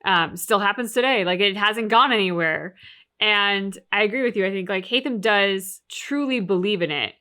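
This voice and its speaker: A woman's voice: medium pitch, moderate pace, pushed and bright, clear, resonant tone. A woman co-hosting a game reaction show, talking casually and analytically about the story.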